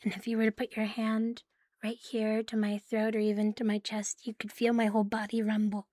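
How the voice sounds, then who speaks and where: A woman livestreaming ASMR in a playful, cutesy catgirl manner, soft and breathy, often whispering, close to the microphone. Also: medium pitch, monotone, brisk. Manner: playful, cutesy catgirl persona, with giggles and purring. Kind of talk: ASMR, intimate chat to live listeners.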